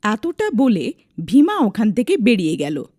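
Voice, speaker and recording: A woman's voice: fairly high pitch, wildly swooping pitch, a little slow, warm tone, dry recording, studio quality. A woman narrating a scripted dramatic story as a storyteller.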